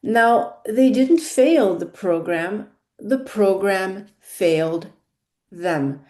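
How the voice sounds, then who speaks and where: A woman with a non-native English accent speaks slowly, stressing key words and repeating points for emphasis. Her voice is mellow and warm, set at a middle pitch. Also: expressive. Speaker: career consultant. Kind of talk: spoken monologue on careers.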